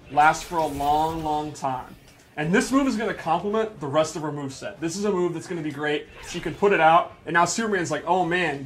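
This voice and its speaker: High-voiced man explaining video game moves. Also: fairly bright tone. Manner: explanatory, informal, emphatic. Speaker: game developer presenter on a livestream. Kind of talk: live gameplay demonstration commentary.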